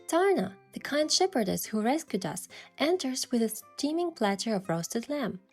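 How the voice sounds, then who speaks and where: An actress playing a playful, determined young woman who narrates her romantic game adventure in a measured, expressive way. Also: on the high side, faint music behind. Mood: narrating. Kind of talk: first-person story narration and dialogue.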